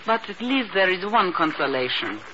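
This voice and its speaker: An actor playing a scheming, venomous foreign agent in a melodramatic 1950s radio drama. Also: male voice, high-pitched, sing-song, normal speed. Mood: cool, disappointed. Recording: muffled, noisy 1950s radio recording.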